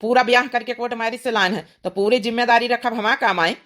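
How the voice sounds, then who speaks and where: A woman's voice: fairly high pitch, fairly even intonation, fast, a bit bright, close to an everyday voice. A woman playing a protective young village son in an Awadhi cartoon comedy, speaking in a firm, admonishing manner.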